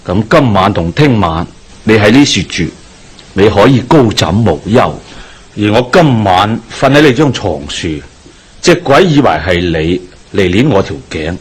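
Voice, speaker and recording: A man with a Hong Kong Cantonese accent playing a calm, authoritative Taoist exorcist master in a radio drama, speaking in a measured, knowing, formal way. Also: fairly low pitch, husky. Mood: assured.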